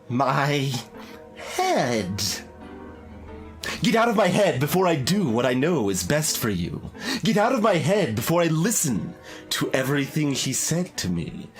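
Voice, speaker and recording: Man reading as a tormented poet, deliberate and intense. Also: on the high side. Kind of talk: dramatic poem reading. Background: background game music.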